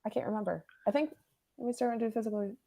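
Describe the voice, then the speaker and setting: Bubbly young host laughing on a call. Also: female voice, a little high, quick pace, creaky, mellow. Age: 20s. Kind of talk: casual video call chat. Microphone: webcam on video call.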